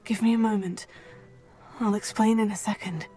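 An actress playing a calm, thoughtful young female witch student who explains things in a measured, sometimes hushed way. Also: mid-range voice, close to an everyday voice. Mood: explaining. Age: young adult. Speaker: player character in a video game. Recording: game dialogue with soft music underneath.

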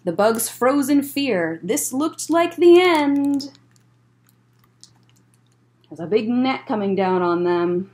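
Female story time reader, fairly high voice, lively intonation, unhurried, clear explaining manner for kids. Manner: expressive, deliberate, explains to young listeners. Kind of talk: read-aloud picture book storytelling with asides. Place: indoors, library room with bookshelves.

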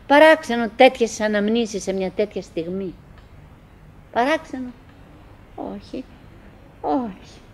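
An actress playing a chattering, wistful, nagging wife who clings to cheer, in halting, repetitive theatrical monologue for an absurdist radio play. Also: female voice, on the high side, lively intonation, a little hiss or hum. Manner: halting, repetitive, theatrical, mimicking remembered dialogue.

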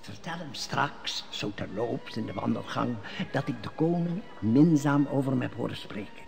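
A man's voice: medium pitch, animated, moderate pace, vocal fry, music in the background. An actor playing a scheming male court elder who speaks in sly riddles, in an old radio play. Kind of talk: 1950s Dutch radio drama acting.

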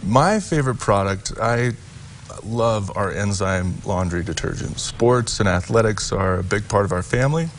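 A man in his thirties, seated on a TV studio set with a lapel mic, answering questions about his family business. He talks in a halting way, stopping and restarting with plenty of fillers. His voice sits a little low, with a faint hum behind it. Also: animated. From Utah. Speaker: company president, guest. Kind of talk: sponsored TV studio interview.

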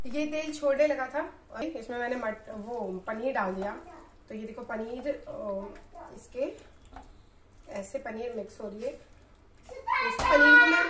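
A woman's voice: sits high, fairly bright tone, far from the mic, a little hiss or hum. A woman in her thirties chatting casually as she explains each cooking step, recorded on a phone a few feet away in a small home kitchen. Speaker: cooking vlogger. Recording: roomy kitchen sound, off-mic, music underneath.

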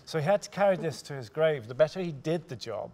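Creaky-voiced man on a discussion panel. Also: on the high side, expressive, fast talker, a little mellow. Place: theatre stage, indoors.

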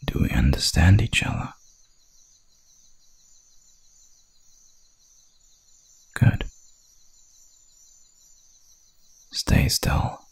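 A man voicing a hungry, dominant werewolf hunter in an ASMR roleplay, with a gravelly, breathy growl and a menacing, seductive drawl. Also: a bass voice, very animated, normal speed, heavily acted. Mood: teasing, commanding.

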